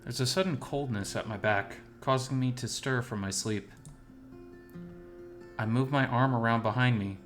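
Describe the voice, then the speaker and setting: A man narrates a first-person story from a script. His pitch is average for a man. Soft guitar music plays quietly behind him.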